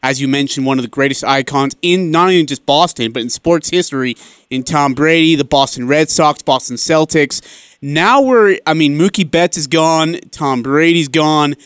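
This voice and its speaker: A man with a fairly high, very clear and smooth voice hosts a sports radio interview. He sets up his questions at some length, and the sound is close and dry. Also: normal speed, a bit bright, no room sound.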